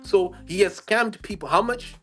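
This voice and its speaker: A man's voice: high voice, moderate pace, fairly bright tone, faint music behind. A man with a Zimbabwean accent, speaking as an investigative journalist in a livestream interview, emphatic and combative, repeating phrases for stress.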